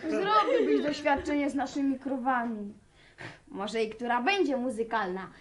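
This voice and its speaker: An actress playing an eager, cheeky youngster from a farming family in a radio drama, talking in plain rural speech. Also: female voice, sits high, expressive, moderate pace, a crowd in the background.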